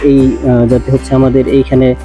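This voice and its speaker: A man's voice: mid-range voice, monotone, moderate pace. A man with a Bangladeshi accent gives an informal on-site instructional explanation as an engineer, repeating himself and hesitating, with frequent filler phrases. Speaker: civil engineer presenting a how-to video. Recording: music running behind the voice.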